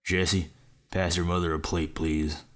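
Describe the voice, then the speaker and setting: A man playing an intense, plain-spoken backwoods father of about fifty in an amateur audio drama, slow, terse and grave. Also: low voice, level tone, fairly bright tone. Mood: gruff.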